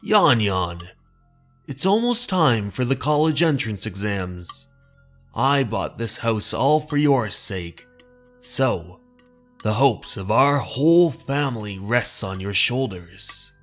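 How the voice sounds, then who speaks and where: A man's voice: mid-range voice, expressive, slow, fairly bright tone, faint music behind. Man acting a stern, burdened middle-aged father. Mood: stern, insistent. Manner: firm, emphatic, dismissive. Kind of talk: horror manga dub.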